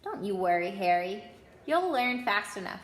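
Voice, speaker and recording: A woman's voice: medium pitch, sing-song, moderate pace, echoey room, lightly acted. A woman voicing a kindly big bearded man in a storybook, reassuring and plain-spoken.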